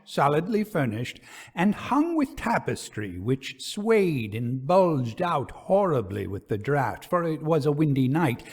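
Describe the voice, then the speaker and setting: A man reading a gothic ghost story aloud in a theatrical, deliberate and suspenseful manner. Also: fairly high pitch, a little mellow.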